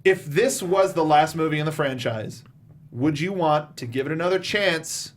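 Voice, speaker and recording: Higher-voiced man hosting. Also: moderate pace. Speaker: host of a film review show. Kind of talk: casual panel chat.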